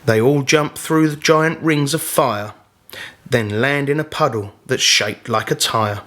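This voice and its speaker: Tiny cosmic genie for a children's storybook, played by a man: showy, teasing, theatrical, unhurried delivery. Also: mid-range voice, a bit bright, lightly acted. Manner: theatrical, rhyming proclamations.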